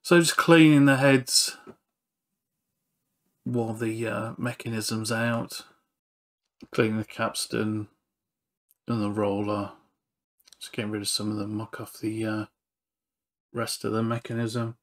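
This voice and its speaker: A man's voice: fairly low pitch, flat delivery, slow, pauses often. A man narrating a hands-on repair walkthrough in an understated, matter-of-fact way. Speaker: repair channel presenter.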